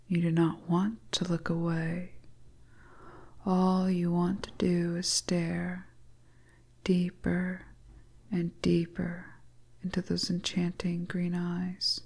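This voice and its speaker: A woman narrating a scripted hypnotic story to the listener in a breathy, soothing, whispery voice. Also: on the low side, darkish tone. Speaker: audio storyteller.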